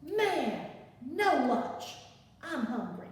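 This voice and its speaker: A woman's voice: high voice, sing-song, drawn-out words, full character voice. A woman voicing a hungry, grumbling male wolf as she reads a story aloud. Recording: echoey room from far off.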